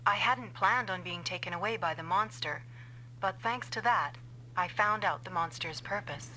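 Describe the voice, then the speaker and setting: Bright-voiced, vengeful woman scientist. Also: fairly low pitch, moderate pace, slight background noise, rough recording. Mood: vengeful resolve. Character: vengeful female scientist confessing a revenge plot. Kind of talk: scripted anime-style game dub acting.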